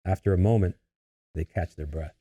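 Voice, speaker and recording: A man's voice: low-pitched, moderate pace, warm tone, dull audio. A man narrating a screenplay, reading out scene headings and stage directions as scripted narration.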